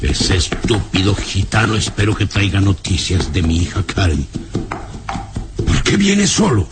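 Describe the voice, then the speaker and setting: An actor playing a sinister, irritable baron, imperious and contemptuous, in an old-time radio serial with music behind. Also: male voice, deep voice, huge pitch swings, a little slow, very noisy, heavily acted. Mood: brooding.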